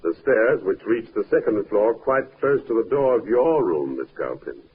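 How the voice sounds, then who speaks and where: An actor plays a calm police inspector in a vintage radio drama. His voice is low and measured, probing shrewdly without fuss. It comes through a thin, narrow old recording with faint hiss. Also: lightly acted. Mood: shrewd, firm. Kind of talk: 1950s radio drama acting. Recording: narrow-band, hissy 1950s radio recording.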